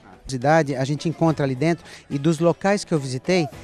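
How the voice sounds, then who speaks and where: A man's voice: a little high, fairly quick, darkish tone, soft background music. A Brazilian man hosting a travel food show outdoors, chatting easily with a local guide in a Brazilian Portuguese accent. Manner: chatty, easygoing, asks leading questions. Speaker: cooking show host. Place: outdoors at an ancient temple.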